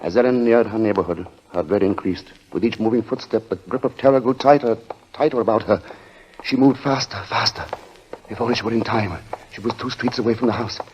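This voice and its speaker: An actor as a grave, ominous narrator, telling a 1940s radio suspense story in deliberate, dramatic phrases. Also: male voice, fairly low pitch, a little mellow, close to an everyday voice. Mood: mounting dread. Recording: dull lo-fi old radio recording.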